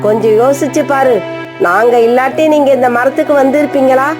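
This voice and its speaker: A woman's voice: high voice, brisk, over music, close to an everyday voice. A woman voicing a greedy, scheming female crow in a cartoon, wheedling and pushy. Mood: threatening. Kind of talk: animated cartoon voice acting.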